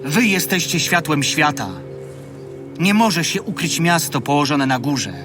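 A calm holy teacher in a gospel radio play, with a fairly high male voice set slightly back from the mic. He instructs his disciples in a measured, solemn way over music. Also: far from the mic. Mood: solemn, teaching. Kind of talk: dramatized gospel reading, audio drama.

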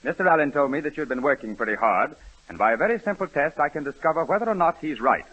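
A man's voice: a little high, lively intonation, fast talker, slightly rough. A man playing a formal, condescending doctor in a 1930s radio comedy. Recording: muffled old radio broadcast.

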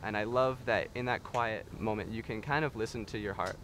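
A man's voice: lowish voice, fairly even intonation, brisk, fairly bright tone, a little hiss or hum. A young man from Texas, a missionary, speaking earnestly and reflectively in an outdoor interview. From Texas, USA. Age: young adult.

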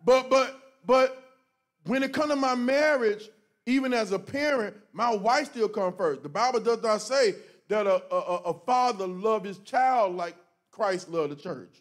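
A middle-aged pastor with a strikingly high voice for a man, speaking to his church from the stage on a handheld mic. He talks in a joking, preachy, animated way, punching lines with loud bursts. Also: measured pace. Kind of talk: preachy conversational talk to a congregation. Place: church stage before a congregation, indoors.

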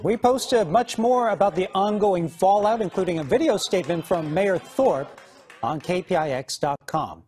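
A man's voice: sits high, animated, moderate pace, a little mellow. A man reading scripted television news as an anchor in a studio.

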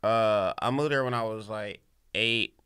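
A man's voice: lowish voice, measured pace, a bit bright, clean tone. A man in his thirties from Los Angeles, chatting as a comedian guest on a podcast in a small studio, laid-back and slangy, trailing off into mumbles. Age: 30s. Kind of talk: casual podcast chat. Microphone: boom mic on arm.

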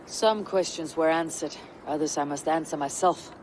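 An actress playing a stoic, thoughtful Viking warrior woman in a video game, husky, grave and measured. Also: low-pitched, a little mellow, a little hiss or hum, natural voice, low-quality recording. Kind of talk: video game dramatic acting.

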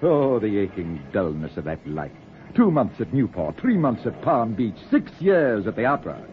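An actor playing a wry, worldly middle-aged runaway husband with dry, theatrical wit in a 1940s radio drama. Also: male voice, lowish voice, very animated, moderate pace. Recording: tinny, noisy 1940s radio recording.

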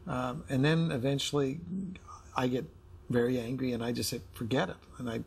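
A man's voice: medium pitch, normal speed, vocal fry, a little hiss or hum. A middle-aged man talking candidly and earnestly as an interview guest, explaining his side. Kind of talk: candid personal conversation. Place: indoor studio living-room set.

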